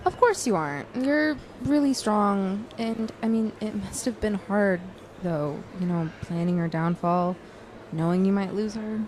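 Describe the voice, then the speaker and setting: A woman playing a supportive student journalist, gentle and lightly sarcastic, in naturalistic audio drama. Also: mid-range voice, measured pace, a little hiss or hum, natural voice. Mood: gently sarcastic, concerned. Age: young adult.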